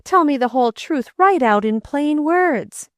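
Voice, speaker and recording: An earnest young boy from a storybook, read by a woman, his voice lifted high and urgent. He pleads slowly and with feeling. The sound is close and dry, with no room around it. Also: animated, no room sound. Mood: alarmed. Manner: eager, pleading, rising to outbursts. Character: earnest boy, grieving and indignant.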